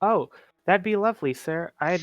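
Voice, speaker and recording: A man's voice: high-pitched, lively intonation, normal speed, no room sound, highs cut off. A man playing a tabletop game, speaking in character in an earnest, polite, determined way. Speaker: player.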